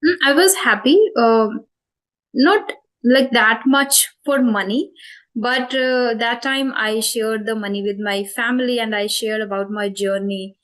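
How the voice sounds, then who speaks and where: A woman's voice: on the high side, measured pace, fairly bright tone, rough recording. A woman in her twenties with an Indian English accent, answering interview questions about her channel in a thoughtful way, with many fillers and self-corrections. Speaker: spoken English channel host.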